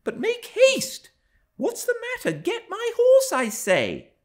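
A man's voice: very high-pitched, huge pitch swings, slow, voice pushed up, heavily acted. A man voicing a spoiled, imperious young gentry girl in an audiobook story, haughty and demanding.

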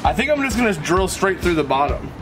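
A man's voice: sits high, sing-song, fast. A man making a casual do-it-yourself vlog, swearing often and laughing at himself while he works. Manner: laughing, swearing, self-mocking. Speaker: vlogger. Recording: loud background music.

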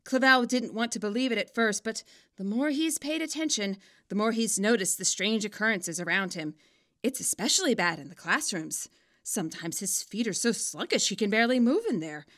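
Bright-voiced woman narrating, lively and expressive. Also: medium pitch, normal speed. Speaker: author narrating own fan fiction. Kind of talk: expressive scripted story narration.

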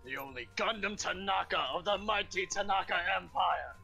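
A man's voice: sits high, moderate pace, creaky, bright, forceful tone, slightly rough, muffled recording, soft background music. A man playing a grandiose young man who proclaims that he will rule an empire, in bombastic, theatrical declarations.

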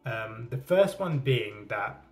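A man's voice: mid-range voice, expressive, slow pace, faint music behind. A man in his thirties who stutters, talking casually to camera in a small indoor room, often repeating words and restarting. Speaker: vlogger.

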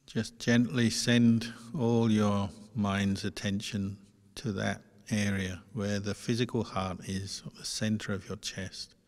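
A man leading a guided meditation as a monk, speaking gently in short phrases with long, unhurried pauses. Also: lowish voice, little pitch movement. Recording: long hall-like reverb.

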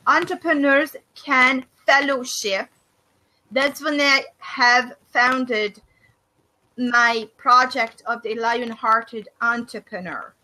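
A middle-aged woman from Hungary with a Hungarian accent, hosting a faith and business video call and speaking thoughtfully with many pauses and fillers. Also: fairly high pitch, steady tone, bright, forceful tone, rough recording. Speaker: host of a faith and business discussion. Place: small room indoors. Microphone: webcam on a video call.

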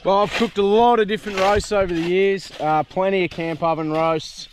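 A man's voice: high-pitched, steady tone, normal speed, background noise. An Australian man in his thirties, hosting a camping vlog outdoors by a creek, chatting casually with teasing banter and laughter. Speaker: vlog host.

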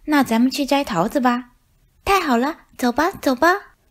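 A woman's voice: high-pitched, animated, moderate pace, pitched above the performer's own voice. A woman voicing innocent little mouse children in a storybook, eager, friendly and childlike. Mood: excited.

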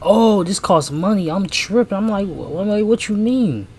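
A young American man giving casual let's-play commentary, slangy and expressive, often repeating himself. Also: high voice, moderate pace, slight background noise. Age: young adult. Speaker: gaming YouTuber. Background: game audio and music.

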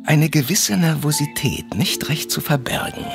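A man's voice: a little high, unhurried, vocal fry, music in the background. A man reading scripted narration for a German audio drama.

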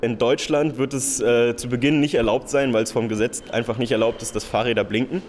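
A man's voice: mid-range voice, steady tone, a little fast, darkish tone, a little hiss or hum. A young man in his twenties explaining his design work at length, with fillers, into a handheld mic in an exhibition hall. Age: 20s. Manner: explains in long, detailed sentences with fillers. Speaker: design graduate. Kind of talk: interview at an exhibition. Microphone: handheld interviewer mic.

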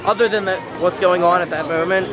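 A teenage boy vlogging casually on an amusement park train ride, rambling and whooping with excitement. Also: fairly quick. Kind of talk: casual vlog chatter. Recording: loud crowd and wind noise outdoors. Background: crowd chatter, wind and train rumble.

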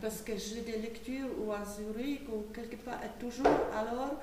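A woman's voice: fairly high pitch, steady tone, normal speed, darkish tone, slight background noise. A woman, an author on a literary panel, speaking hesitant French and searching for words. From Slovakia. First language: Slovak. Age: 60s. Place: small dim event hall with audience. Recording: echoey room from a distance.

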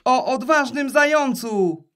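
A woman's voice: high-pitched, animated, slow, fairly bright tone, full character voice. A woman voicing a curious little boy bunny who asks eager questions in a children's audio drama.

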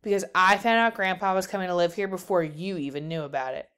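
A boastful little girl from a storybook, voiced by a woman reader, pestering and teasing. The voice is clean and smooth. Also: on the low side, fast talker, a bit bright, close to an everyday voice. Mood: bossy, proud. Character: boastful, nagging little sister, a young girl.

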